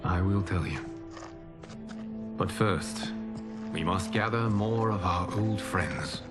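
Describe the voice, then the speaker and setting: A man playing a noble, solemn warrior protector in a video game cutscene, speaking in a measured, formal and earnest way. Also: deep voice, over loud music.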